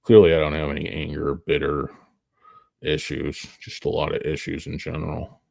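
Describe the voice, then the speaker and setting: A man's voice: extremely low pitch, completely flat delivery, normal speed, gravelly, a little mellow, no echo. A man hosting a casual stock commentary, rambling, crude and sarcastic as he talks through charts and filings. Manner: rambling, crude and sarcastic, with mocking put-on voices. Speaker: stock market video host.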